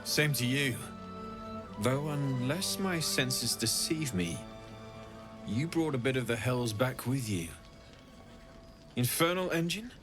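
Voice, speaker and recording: An actor voicing a friendly, curious infernal mechanic and weaponsmith in a fantasy video game, speaking frankly and expressively. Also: male voice, medium pitch, expressive, slow pace, long pauses. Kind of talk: video game character acting. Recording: game audio with music behind. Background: music.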